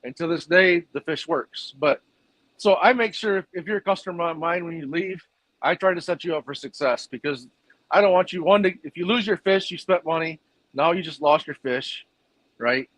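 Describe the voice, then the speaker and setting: A man's voice: on the high side, moderate pace, a bit bright, dry recording, low-quality recording. A man who runs a fish food business, answering interview questions in a plainspoken, rambling way with fillers.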